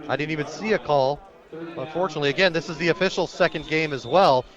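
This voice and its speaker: High-voiced American football play-by-play man. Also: quick pace, lo-fi sound, crowd noise. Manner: descriptive, calling action as it unfolds. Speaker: play-by-play sports announcer. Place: outdoors at a football stadium.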